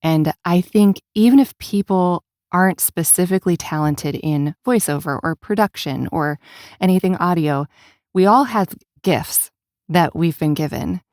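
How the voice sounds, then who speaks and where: A woman's voice: lowish voice, a little slow, darkish tone, no echo, clean studio sound. A woman hosting a casual podcast interview, speaking thoughtfully and affirmingly as she searches for her words.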